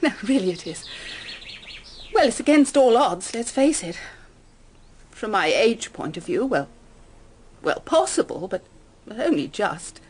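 A woman's voice: fairly high pitch, sing-song, unhurried, pauses often, darkish tone, a little hiss or hum. An actress playing a middle-aged stepmother in a British radio drama, emotionally fragile yet brave, with a British accent. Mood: fragile, tearful.

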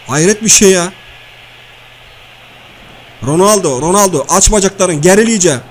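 Casting: male performer as crude, high-voiced comic everyman, slangy and blustering, nagging through cartoon game banter. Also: unhurried, low-quality recording. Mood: bossy, heckling. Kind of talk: comic video-game banter and match commentary.

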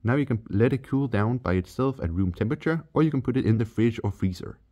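A man's voice: medium pitch, lively intonation, quick pace, warm tone. A man from Denmark with a Danish accent, giving a step-by-step chemistry voice-over for his channel. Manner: plain, step-by-step instructional. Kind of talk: scripted voice-over tutorial.

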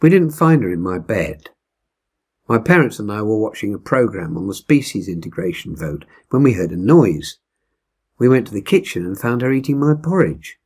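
A man in his seventies with a husky voice, reading a short story aloud expressively. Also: lowish voice, very animated, moderate pace, darkish tone. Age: 70s. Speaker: story reader. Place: indoors in a wood-beamed room at home.

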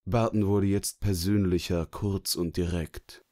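A man's voice: low voice, fairly even intonation, a little slow, dark tone. A man reading scripted narration from a novel. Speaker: audiobook narrator.

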